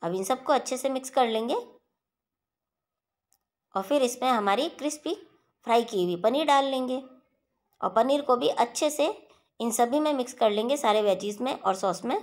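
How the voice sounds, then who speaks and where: A woman hosting a home cooking channel, talking viewers through a recipe step by step in a steady instructional voice-over. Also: fairly high pitch, moderate pace, pauses often.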